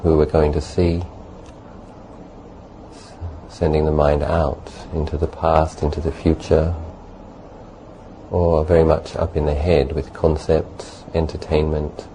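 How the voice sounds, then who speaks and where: A man leading a guided meditation as a teacher, speaking gently and unhurriedly in short phrases with long pauses. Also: extremely low pitch, little pitch movement, rough recording. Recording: faint background noise.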